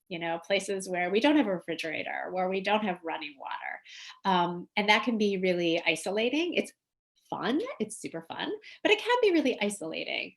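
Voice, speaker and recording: A middle-aged woman giving an informal online presentation as a guest lecturer, earnest and conversational, stressing key words. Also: medium pitch. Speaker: guest lecturer, humanitarian nurse. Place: small indoor room at home or office. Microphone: computer mic on video call.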